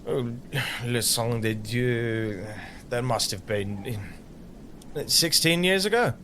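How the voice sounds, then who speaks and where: An actor playing an earnest, virtuous knight with a tormented sense of honor in an audio drama. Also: male voice, mid-range voice, takes it slowly, slight background noise. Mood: brisk, concerned. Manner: earnest, solemn, expressive.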